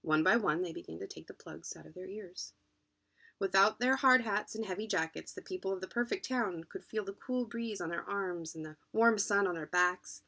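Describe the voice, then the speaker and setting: Storybook narrator: adult female, medium pitch, expressive read-aloud delivery for children. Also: normal speed, vocal fry. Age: adult, parent. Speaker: children's book reader.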